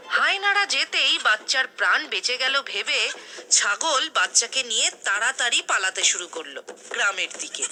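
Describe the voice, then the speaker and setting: A woman's voice: on the high side, bright, forceful tone. A woman narrating a scripted fairy tale as the storyteller.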